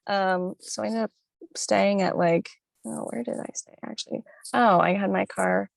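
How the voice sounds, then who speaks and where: A young woman talks casually as a podcast guest, upbeat and chatty. Her voice carries a gravelly fry at the ends of phrases. The sound is dry and close, with no room echo. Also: mid-range voice, fairly even intonation, moderate pace. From born in Italy, raised in northern Florida. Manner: chatty, upbeat, frequent "like" and laughs. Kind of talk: casual chat.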